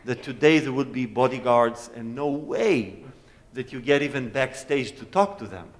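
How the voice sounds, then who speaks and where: A man's voice: mid-range voice, animated, moderate pace. A man whose first language is Hebrew, a guest cellist chatting and joking with a concert audience on stage. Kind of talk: informal talk and audience Q&A on stage. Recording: long concert hall reverb.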